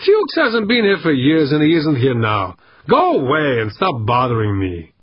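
A man's voice: a little high, wildly swooping pitch, moderate pace, husky, dry recording, highs cut off, heavily acted. A man voicing an irritable, disbelieving male communications operator in an audiobook, with indignant exclamations. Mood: irritated.

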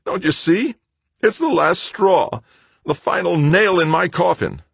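An embittered, volatile grown son in an audiobook, acted out by a man. He snarls and rants in a raised, wildly moving voice with a rough husk to it, recorded close and dry. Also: on the low side, very animated, moderate pace, dry recording, pitched above the performer's own voice, exaggerated voice. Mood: enraged, anguished. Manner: snarling, ranting, screaming. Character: volatile, bitter adult son, enraged and anguished. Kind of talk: audiobook character acting.

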